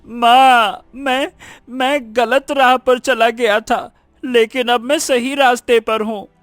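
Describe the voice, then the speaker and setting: Man voicing a high-pitched earnest village boy. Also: fairly even intonation, takes it slowly, fairly bright tone, no echo, faint music behind, heavily acted. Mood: earnest, repentant. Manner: earnest, emphatic, humble. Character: earnest, repentant village schoolboy, humble and grateful. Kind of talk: animated moral story dubbing.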